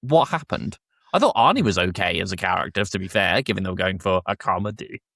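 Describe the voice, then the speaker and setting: A man's voice: low voice, animated, fast talker, a bit bright, no echo, studio quality. A man hosting a podcast, speaking casually as he chats and reads out summaries. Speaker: podcast host. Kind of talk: casual chat and reading episode summaries aloud.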